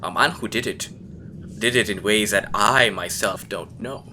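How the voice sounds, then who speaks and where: A game master voicing a cagey older man who once knew the hero's late father and talks in a slow, lecturing way. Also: on the low side, pushed and bright, slight background noise. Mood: guarded. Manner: cagey, lecturing, speaks slowly.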